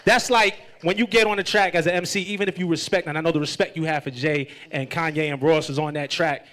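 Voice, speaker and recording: American guy doing a hip hop interview, kinda high bright voice, hyped up, says stuff over n over for emphasis. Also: steady tone, fast talker. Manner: hyped, emphatic, repeats himself. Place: live event before an audience.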